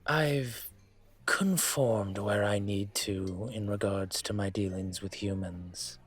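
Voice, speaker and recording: A man acts out a dragon mafia boss in human guise for an intimate audio roleplay. His voice is lowish and breathy, and he speaks very slowly and deliberately, with a commanding, teasing charm. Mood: confiding, matter-of-fact.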